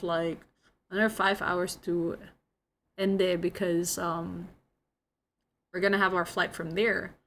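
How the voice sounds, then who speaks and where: A woman's voice: fairly low pitch, slight background noise. Chatty young Indonesian woman streamer. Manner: chatty, lots of "like", giggly storytelling. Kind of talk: casual chat with viewers.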